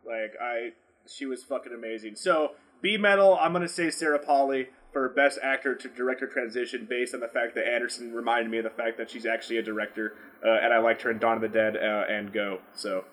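A man's voice: medium pitch, steady tone, brisk, fairly bright tone. A man co-hosting a podcast in casual chat, talking in a profane, slangy and exclamatory way. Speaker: podcast co-host. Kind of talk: casual chat answering fan questions.

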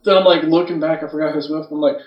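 A man's voice: on the high side, fairly even intonation, quick pace, muffled recording. Roomy, distant-sounding man in casual podcast chat. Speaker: podcast co-host.

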